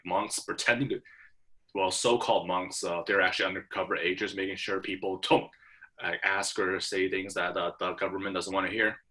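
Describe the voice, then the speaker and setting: A young man from Hong Kong talking in a relaxed back-and-forth with his professor. His voice sits a little low but comes across bright and forceful. He stumbles through many restarts and fillers. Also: low-quality recording. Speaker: student. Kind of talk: casual conversation.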